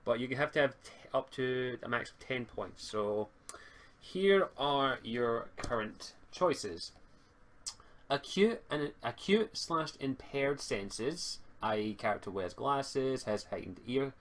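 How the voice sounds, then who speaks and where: A man's voice: medium pitch, a bit bright. A man acting as game master and host, casually explaining tabletop game rules. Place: online group game session, streamed. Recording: noticeable background noise.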